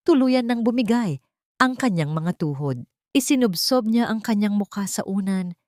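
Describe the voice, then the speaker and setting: A woman's voice: fairly low pitch, darkish tone, no echo, clean studio sound. A woman reading scripted, dramatic narration of a love story as a storyteller.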